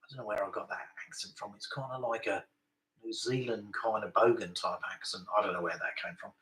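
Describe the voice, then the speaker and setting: A man's voice: lowish voice, fairly even intonation, brisk, fairly bright tone. A man talking casually to camera in a daily vlog, rambling and self-mocking, often tossing in tag questions like "right?". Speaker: vlogger. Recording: roomy echo.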